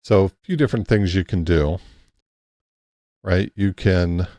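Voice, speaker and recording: Male host, low gravelly voice, unhurried and halting, casual live-stream walkthrough, dry close mic. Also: mellow, no echo. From Texas. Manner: halting, thinks aloud with many restarts and fillers.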